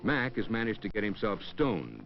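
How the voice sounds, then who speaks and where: A man's voice: lowish voice, big pitch swings, normal speed. A man reading scripted narration for a 1960s educational film in a wry storytelling way, echoing hip slang. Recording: dull old 1960s film soundtrack with music. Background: background music.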